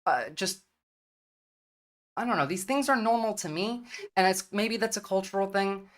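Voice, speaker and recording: A woman's voice: mid-range voice, moderate pace. A woman giving an earnest, argumentative opening statement in an online debate, citing statistics with some hesitations. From United States.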